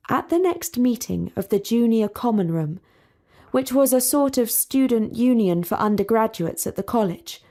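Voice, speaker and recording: A woman narrating a storybook for English learners in a clear, measured, deliberate way. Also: on the high side, warm tone.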